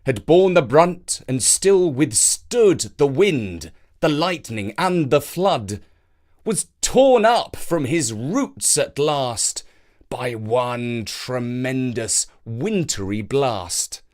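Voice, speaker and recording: A man works as a storyteller, presenting and reading verse from a script. His delivery is slow, measured and dramatic, with an animated, widely moving pitch.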